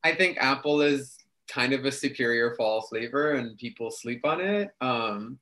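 A man's voice: mid-range voice, lively intonation, measured pace, sharp tone. Chatty young New man. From New York City. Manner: talkative, chatty with frequent fillers. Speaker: guest. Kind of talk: casual interview chat.

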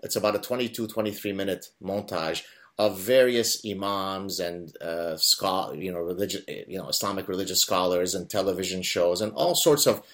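Emphatic low-voiced male host. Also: moderate pace. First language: Arabic. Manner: animated, emphatic, with self-corrections. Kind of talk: conversational interview, storytelling.

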